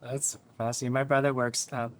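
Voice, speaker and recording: A man's voice: medium pitch, flat delivery, brisk. A man hosting a podcast, asking casual questions with affirming "yeah"s and "you know"s. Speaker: podcast host.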